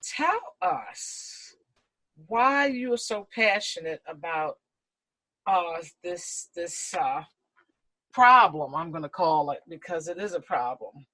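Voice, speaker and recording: A woman hosting a radio talk show, introducing a guest and asking questions in a measured way, with soft affirmations. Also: fairly low pitch, lively intonation, no echo, low-quality recording.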